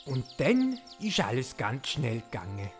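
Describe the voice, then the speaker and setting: A woman's voice: rumbling low, wildly swooping pitch, measured pace. A woman with a Swiss German accent narrating playfully, like a fairy tale told to children. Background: music.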